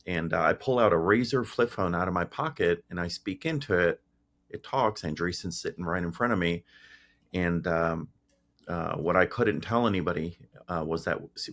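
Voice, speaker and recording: Guest, middle-aged man from Greece: very low, rough voice, easygoing storytelling, lots of ums. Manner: relaxed, frequent fillers and restarts. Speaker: guest, tech founder. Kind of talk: casual interview storytelling.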